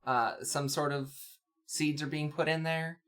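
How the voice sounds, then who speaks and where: Cohost riffing on a comic, wry n hesitant, picky, middle pitch, very casual. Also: fairly even intonation, a little slow, fairly bright tone. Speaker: co-host. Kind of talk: casual chat, comic commentary.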